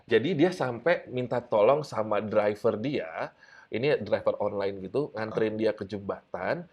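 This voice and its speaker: A man's voice: lowish voice, measured pace, slightly rough, dull audio. Playful Indonesian man hosting a chat. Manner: playful, prompting the guest with questions. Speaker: host interviewer. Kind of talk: casual teasing chat.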